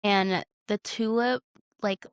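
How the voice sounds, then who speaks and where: Clear-voiced young woman, casual and dry. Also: mid-range voice, fairly even intonation, takes it slowly, no room sound. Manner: informal, conversational. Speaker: podcast co-host. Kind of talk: casual chat about films.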